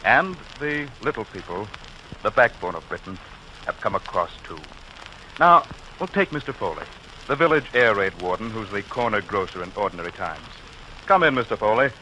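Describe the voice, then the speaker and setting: Male announcer, lowish voice, bright forceful delivery, formal, 1940s radio, faint noise. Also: animated, normal speed, low-quality recording. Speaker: radio presenter.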